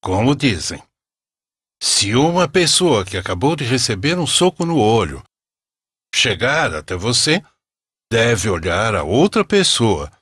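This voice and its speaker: Slow, expressive male storyteller. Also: medium pitch, husky, dry recording, studio quality. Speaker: narrator. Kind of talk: scripted religious story narration.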